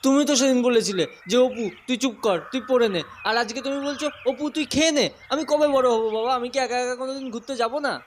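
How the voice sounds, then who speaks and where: Man acting high-voiced stubborn teenage son. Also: brisk, few pauses, slight background noise. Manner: pleading, insistent. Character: eager, stubborn teenage Bengali son craving independence. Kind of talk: audio story drama acting.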